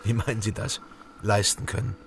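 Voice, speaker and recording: A man's voice: fairly low pitch, flat delivery, normal speed, vocal fry, noisy background. A man playing a reserved, sceptical night porter in his twenties in a radio crime drama, with an understated, laconic delivery. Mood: guarded, polite. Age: 20s. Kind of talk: radio drama dialogue and first-person narration.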